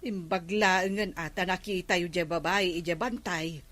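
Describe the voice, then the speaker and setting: A woman's voice: fairly low pitch, fairly even intonation, moderate pace, fairly bright tone, no room sound, natural voice. A woman with an Ilocano accent playing a nagging village woman in a comedy drama, exclaiming and scolding. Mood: concerned.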